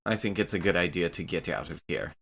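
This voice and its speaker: Male gamer, fairly low pitch, casual role-playing table talk. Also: expressive, fast talker, vocal fry, a bit bright. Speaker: tabletop game player. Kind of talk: casual game play.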